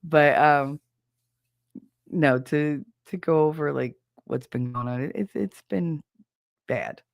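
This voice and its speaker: Stuffy, gravelly New host. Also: mid-range voice, slow, no echo, clean studio sound. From New Jersey. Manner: rambling, chatty, self-deprecating, greets chat viewers. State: stuffy, nasal from a cold. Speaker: livestream host. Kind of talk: casual live-stream chat.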